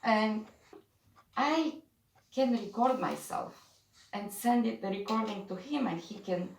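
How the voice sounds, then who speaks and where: A woman's voice: medium pitch, measured pace, slight background noise, poor audio. A woman in her sixties or older from Bulgaria, with a Bulgarian accent, speaking casually in an interview as an adult music student. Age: 60s or older.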